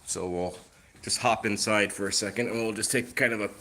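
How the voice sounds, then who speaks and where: A man's voice: on the low side, fairly even intonation, fairly quick, bright, forceful tone, slight background noise. A man hosting a casual live stream on his phone, chatty and easygoing, with a lingering cough that leaves his voice a little off. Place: outdoors, then indoors at home.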